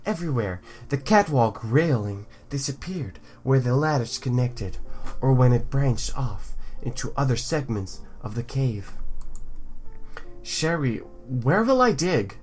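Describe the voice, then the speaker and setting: A man's voice: medium pitch, expressive, unhurried, few pauses, darkish tone. A man hosting a let's play, reading story text aloud with joking asides and stumbles over words. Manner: jokey, stumbles over words and repeats them for effect. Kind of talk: playful game commentary mixed with reading visual novel text aloud.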